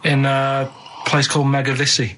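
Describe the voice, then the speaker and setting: Male radio regular, mid pitch, bright and forceful tone, meandering chat, naive plain-spoken questioning. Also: monotone, moderate pace, slight background noise. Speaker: radio show regular interviewing an expert. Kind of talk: rambling casual chat. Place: radio studio.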